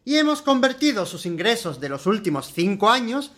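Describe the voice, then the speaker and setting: A man's voice: high voice, very animated, moderate pace, a bit bright. A man plays a supermarket boss from a satirical radio play. He is smugly polite and formal, with menace under the courtesy. His manner is sardonic. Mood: coolly menacing. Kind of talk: satirical radio drama acting.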